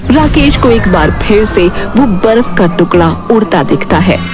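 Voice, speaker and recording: A woman giving scripted, dramatic storytelling narration for an animated moral story. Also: mid-range voice, a little slow. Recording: loud music behind the voice. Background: music.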